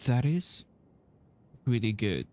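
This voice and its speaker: A man giving casual reaction commentary while playing a story game, halting and earnest as he searches for words. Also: mid-range voice, big pitch swings, darkish tone, slight background noise.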